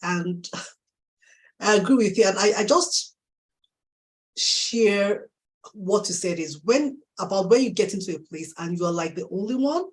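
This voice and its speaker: Animated Nigerian woman hosting a chat. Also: medium pitch, measured pace, long pauses, poor audio. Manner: animated, affirming, laughs often. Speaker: host. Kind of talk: live interview chat.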